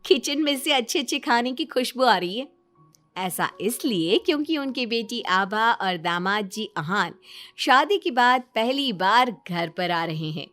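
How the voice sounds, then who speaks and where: A woman's voice: fairly high pitch, expressive, measured pace, fairly bright tone. A woman storyteller reading a scripted moral family story as narrator. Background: background music.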